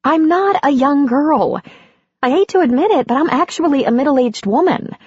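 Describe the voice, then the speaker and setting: A woman voicing a warm, anxious middle-aged woman in an audiobook, talking brightly and quickly. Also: expressive, no room sound. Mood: eager. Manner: bright and eager, higher than her narration. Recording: dry, dull lo-fi audiobook recording.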